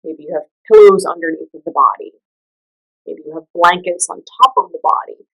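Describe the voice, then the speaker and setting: A woman with a slightly low-pitched voice guides a meditation. She speaks in a soothing, conversational manner, offering gentle instructions and leaving the listener room to follow without hurry. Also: brisk, creaky, faint music behind, rough recording.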